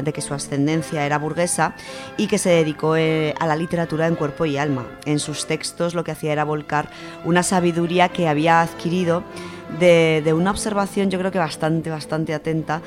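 Aragonese woman doing a book bit on the radio, chatty, lots of ums, quiet music under her. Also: sits low. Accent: Aragonese Spanish. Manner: chatty, explanatory, with many fillers. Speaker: radio section presenter. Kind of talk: casual radio literary segment.

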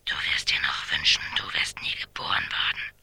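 A man's voice: moderate pace, natural voice. Menacing whispering actor as an anonymous male caller. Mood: cold menace. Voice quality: whispered, breathy hiss. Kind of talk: radio drama acting, answering machine message.

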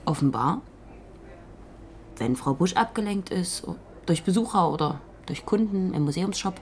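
A woman's voice: fairly low pitch, expressive, measured pace, darkish tone, a little hiss or hum. An actress playing a terse, skeptical young female police trainee, matter-of-fact, in a naturalistic radio drama.

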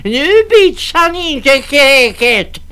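A man voicing drunk, shouting rowdy laborers. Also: very high-pitched, animated, voice pushed up, exaggerated voice. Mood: threatening. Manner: shouting, threatening.

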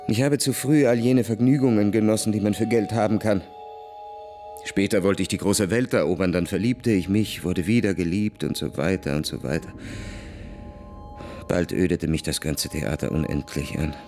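A young aristocratic officer with a very low voice, jaded and restless. He speaks in a confessional, melancholy way, as in a radio drama, with soft music underneath. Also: moderate pace. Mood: weary confessing. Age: young adult. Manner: world-weary, confessional. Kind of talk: radio play monologue.

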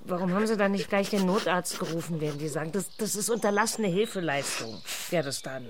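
A woman's voice: lowish voice, expressive, brisk, lightly acted. An actress playing a stressed, bossy, scheming woman in her forties in a German radio drama, brusque and confrontational. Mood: anxious, calculating.

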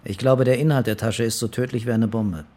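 An actor playing a dogged male police commissioner with a husky voice in a radio crime drama. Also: medium pitch, fairly even intonation, fairly quick, dark tone, close to an everyday voice. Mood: dry, insistent.

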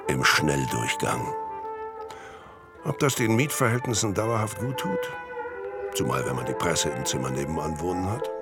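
Sardonic radio drama narrator, very low voice, animated intonation, dry irony, music underneath. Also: male voice, normal speed, long pauses. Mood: wry amusement. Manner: dry, ironic. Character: sardonic male narrator commenting on a detective's doings. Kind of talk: ironic radio play narration.

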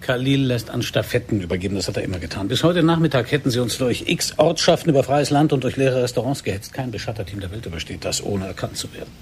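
An actor in a German radio drama playing a curt, analytical intelligence agent who explains things with firm certainty. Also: male voice, medium pitch, lively intonation, fast talker, few pauses, natural voice. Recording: faint background ambience noise.

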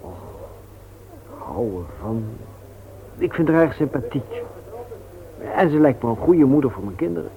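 An actor playing an earnest, reserved widowed father in a radio play, speaking in a hesitant, halting way. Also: mid-range voice, sing-song, crowd noise. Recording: tinny old radio recording with hiss.

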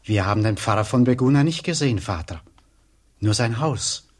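A man playing a calm, respectful local who helpfully gives directions in a radio drama. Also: mid-range voice, normal speed, close to an everyday voice. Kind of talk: German radio drama acting.

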